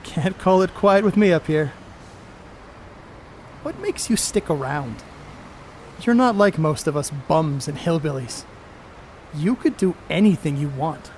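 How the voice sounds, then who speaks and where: A man's voice: fairly high pitch, expressive, a little slow, long pauses, darkish tone, slight background noise. A man on stage playing a brash, cocky 1930s baseball star who blusters in folksy, profane slang. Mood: teasing, boastful. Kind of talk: amateur stage play acting.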